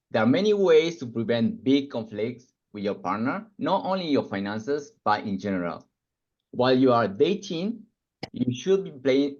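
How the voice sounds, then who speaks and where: A man with an accent that blends Spanish and Japanese talks through a webinar in an earnest, measured way. His voice sits at an ordinary pitch for a man. The video call audio sounds a little muffled. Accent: mixed Spanish and Japanese. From Argentina and Japan. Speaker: financial coach, webinar speaker. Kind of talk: webinar self-introduction and commentary.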